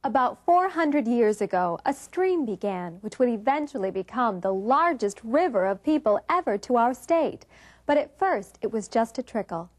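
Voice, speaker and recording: American woman narrating an educational show, higher-pitched. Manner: clear, instructive, addressing young viewers directly.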